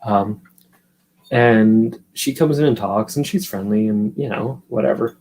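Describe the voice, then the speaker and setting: A man's voice: on the low side, a little slow, a little mellow, a little hiss or hum. A man in his thirties from California, hosting a live stream and telling a long story in a loose, rambling, foul-mouthed way while answering his chat. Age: 30s. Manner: profane, rambling, chatty, answers viewers' chat.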